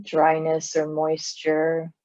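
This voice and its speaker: A woman leading a guided body scan meditation in a calm, gentle, unhurried manner, with long pauses. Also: sits low, dull audio. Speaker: mindfulness meditation instructor.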